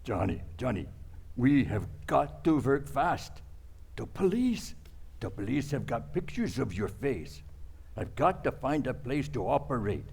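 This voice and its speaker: Guy doing jittery foreign doctor sidekick, raspy n croaky, stammery, old radio comedy style. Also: mid-range voice, big pitch swings, a little slow, a little mellow, full character voice. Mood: anxious pleading. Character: nervous foreign doctor, a criminal's soft-hearted accomplice.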